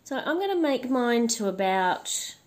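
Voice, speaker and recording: A woman with a husky, flu-worn voice talks through a crochet tutorial in a relaxed, chatty way. She restarts her sentences and fills pauses with ums as she explains each step. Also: fairly high pitch. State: hoarse, recovering from flu. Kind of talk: casual instructional talk.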